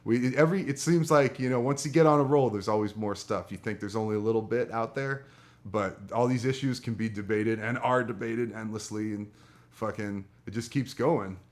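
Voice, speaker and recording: A man's voice: lowish voice, moderate pace. A man co-hosting a radio show, chatting casually in loose, slangy, profane language. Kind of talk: casual chat.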